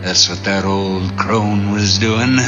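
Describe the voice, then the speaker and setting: A sinister old man played by a male actor speaks slowly in a folksy way for an audio drama. The sound is slightly dull, with prominent music underneath. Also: sits low, flat delivery. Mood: grim accusing. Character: sinister old man muttering about witchcraft.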